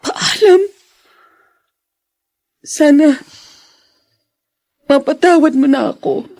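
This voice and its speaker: An actress playing a repentant, earnest young Filipina daughter in a radio drama, pleading and close to tears. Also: female voice, high-pitched, drawn-out words, mellow, no room sound, heavily acted. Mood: pleading regret. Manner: pleading, tearful delivery.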